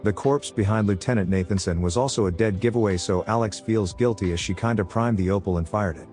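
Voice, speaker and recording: A man's voice: on the low side, brisk, darkish tone, faint music behind. A man reading a scripted film recap as narrator, with flippant, sardonic asides.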